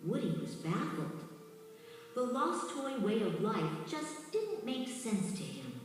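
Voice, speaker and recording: A woman's voice: a little high, very animated, slow pace. A woman reading scripted children's storybook narration as the narrator of a read-along. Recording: very echoey distant hall, music underneath.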